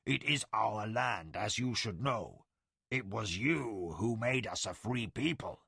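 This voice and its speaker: A proud tiger-man warrior chief with a slow, low, snarling delivery, played by a male narrator. Each word drags out with a gravelly growl, fierce but wary. The recording is dry and close. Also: animated, bright, forceful tone, no echo, full character voice.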